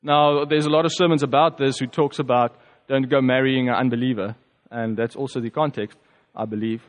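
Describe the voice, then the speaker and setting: A man with a South African accent, a native Afrikaans speaker, giving a reflective personal testimony as a preacher, conversational and halting with fillers. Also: medium pitch, fairly even intonation, in a large hall.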